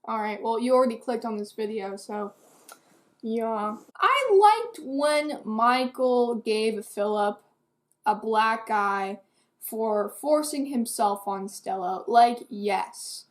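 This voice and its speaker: A woman's voice: a little high, lively intonation, slow. An eighteen-year-old woman giving a casual, rambling book review to camera in a candid, self-deprecating, hesitant way.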